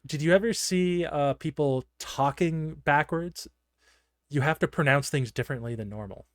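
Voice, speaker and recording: A man's voice: fairly high pitch, moderate pace, dry recording, clean studio sound. A man co-hosting a casual, chatty discussion show, given to giggly laughter. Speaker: podcast co-host. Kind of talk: casual discussion of a horror anime episode.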